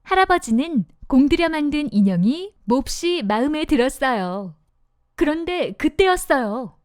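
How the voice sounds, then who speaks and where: Brief: woman reading a kids' fairy tale, high and lively, dry close mic. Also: measured pace, dry recording. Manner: sing-song, expressive. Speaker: narrator. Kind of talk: children's storybook narration.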